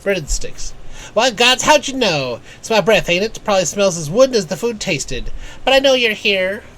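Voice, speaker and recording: A man puts on a hungry farmhand from a fantasy game. The voice is pitched up and folksy, grumbling and exclaiming, with faint noise behind it. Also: sing-song, moderate pace, a bit bright, rough recording. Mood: grumbling, surprised.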